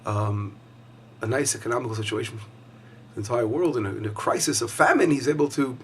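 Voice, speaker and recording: A hoarse man teaching a weekly Torah lesson as a rabbi, talking conversationally with frequent fillers and restarts. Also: medium pitch, a little fast, fairly bright tone, a little hiss or hum.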